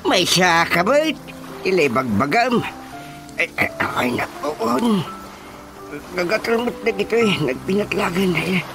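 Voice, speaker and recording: A man's voice: high voice, lively intonation, a little slow, over music. A man playing a fretful old father in a comic radio drama, whining, muttering and exclaiming. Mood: flustered, defensive. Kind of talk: comedic radio drama acting.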